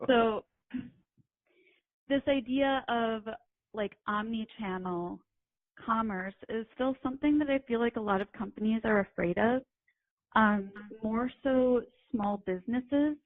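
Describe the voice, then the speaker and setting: A young woman speaking at an ordinary pitch as an interview guest, laying out her points steadily with small ums. Her voice is dry and close, and it is squeezed into a narrow, phone-like band. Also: dry recording. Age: young adult. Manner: measured, explanatory, with ums. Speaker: guest, retail writer and editor. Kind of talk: casual interview chat.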